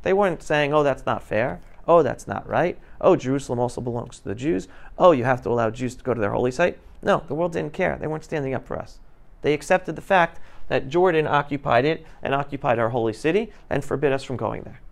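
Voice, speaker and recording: A man's voice: medium pitch, lively intonation, a little fast, gravelly. A man in his forties giving an informal, emphatic lecture to students, explaining and checking in with questions. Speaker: guest speaker. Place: school classroom, indoors. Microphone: clip-on lapel microphone.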